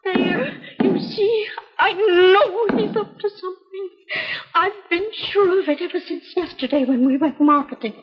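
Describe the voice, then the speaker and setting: A woman's voice: very high voice, measured pace. An actress playing an anxious wife recounting her husband's troubles to a doctor, in a 1950s educational film. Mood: worried. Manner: anxious, chatty storytelling. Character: fretful middle-aged wife confiding in a doctor. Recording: dull reverberant old film soundtrack with music.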